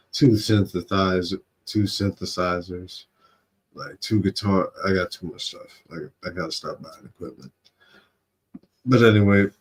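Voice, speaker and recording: A man hosts a casual livestream in a very low voice. He takes his time, talking slowly and rambling through slangy commentary. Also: a bit bright. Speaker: livestream host. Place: home studio room.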